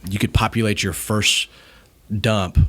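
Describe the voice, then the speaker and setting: Male podcast guest, very low voice, casual and blunt storyteller. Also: flat delivery, unhurried, a bit bright. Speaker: podcast guest and media company founder. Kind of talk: casual conversational interview storytelling.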